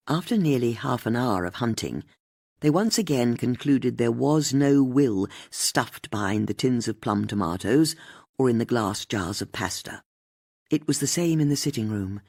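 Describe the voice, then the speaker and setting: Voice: mid-range voice, a little mellow, no echo, studio quality. An audiobook narrator reading scripted mystery fiction.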